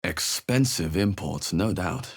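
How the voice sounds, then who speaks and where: A man playing a wary, wry young adventurer in a video game, husky and musing to himself as he observes. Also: low voice, animated, slow pace, heavily acted. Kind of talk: video game character voice lines, muttered observations.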